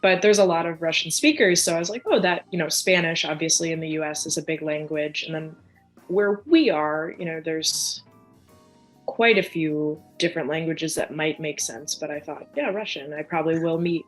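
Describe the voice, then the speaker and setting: A woman's voice: deep voice, normal speed, faint music behind. A young adult woman from near Chicago, a podcast guest chatting casually and animatedly, full of fillers and quoted asides. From United States, near Chicago. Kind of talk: casual conversational interview.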